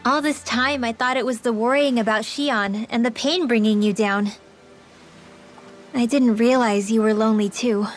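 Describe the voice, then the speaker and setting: A woman's voice: a little high, steady tone, moderate pace, lightly acted. A woman voicing an earnest, caring teenage girl mage in a video game, speaking in casual, youthful phrases. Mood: gently sympathetic. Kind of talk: anime video game dub acting. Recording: game dialogue over background music.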